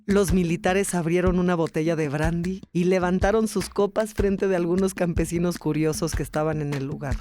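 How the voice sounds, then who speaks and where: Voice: mid-range voice, warm tone. A podcast narrator reading a scripted documentary story.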